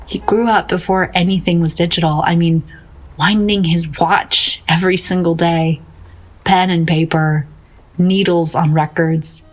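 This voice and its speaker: A young woman painter with a husky, fairly low voice. She talks in a naturalistic audio drama style, earnest and gushing, smitten and ready to defend herself. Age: young adult. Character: dreamy, infatuated young woman painter, defiant and adoring.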